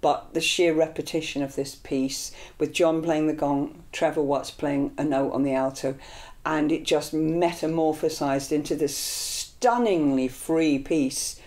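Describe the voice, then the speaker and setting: A woman's voice: deep voice, a little slow. An older woman from Scotland, raised in London, talking earnestly in an interview about her start as an improvising singer, with fillers and restarts. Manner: earnest, animated with hand gestures. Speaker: improvising vocalist. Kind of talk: reflective interview. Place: small indoor studio room.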